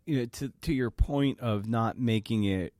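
A man's voice: medium pitch, moderate pace, a little mellow, no echo, studio quality. A man hosting a podcast, asking long, rambling questions that he corrects as he goes. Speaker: podcast host. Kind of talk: interview questions.

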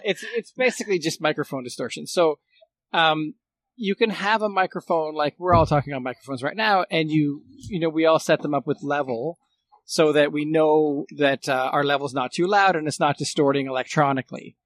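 A man with a slightly high voice, recorded very dry. He explains technical things casually, stopping and rewording as he works through them. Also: fairly bright tone, no echo. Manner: explanatory, halting, rephrases often.

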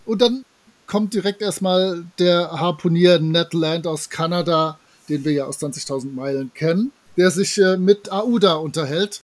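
A man's voice: a little high, normal speed. A man co-hosting a podcast, casually reviewing an audio drama in a colloquial, wry way. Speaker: podcast co-host.

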